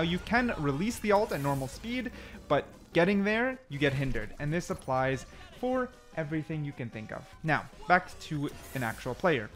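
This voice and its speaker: A man giving casual, slangy gameplay commentary as a gaming video host, talking viewers through things step by step. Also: fairly high pitch.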